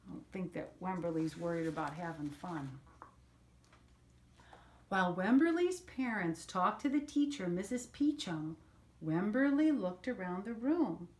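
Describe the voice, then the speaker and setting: Slow-paced older woman reading a storybook. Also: lowish voice, animated, a little mellow. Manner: explains words and pictures to young listeners. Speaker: storyteller, grandmother reading to children. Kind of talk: children's picture book read-aloud with asides.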